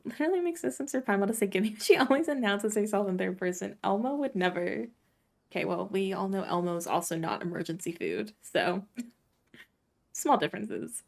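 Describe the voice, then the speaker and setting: A woman's voice: medium pitch, expressive, creaky. A woman streaming a game, giving casual, wry live commentary. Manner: wry, quick to laugh.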